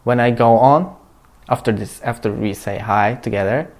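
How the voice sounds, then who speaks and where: A man in his twenties answering interview questions haltingly, searching for words. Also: mid-range voice. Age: 20s.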